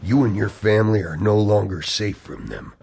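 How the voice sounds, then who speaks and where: An actor playing a grave, ominous insider who knows of a conspiracy, in a radio drama. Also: male voice, fairly low pitch, big pitch swings, moderate pace, vocal fry, darkish tone, a little hiss or hum. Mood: grave warning. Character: Zeke, grave male insider warning of conspiracy.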